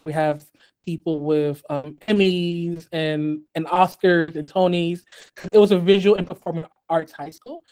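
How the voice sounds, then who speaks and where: A man born in Haiti and raised in the US, talking as a guest on a podcast interview in a measured way, with many ums and restarts. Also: fairly high pitch, darkish tone, rough recording. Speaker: guest artist.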